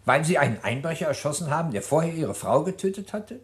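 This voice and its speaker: A sceptical male prosecutor in a radio crime play. His voice is fairly high and swings widely in pitch. He probes with pointed, incredulous questions. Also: very animated, fast talker.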